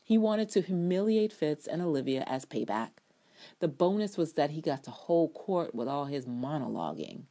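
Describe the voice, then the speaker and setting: Female reader, low pitch, delivering sardonic TV commentary as a dramatic, emphatic essay reading. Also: very animated, moderate pace, warm tone. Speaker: TV drama blogger reading own review aloud.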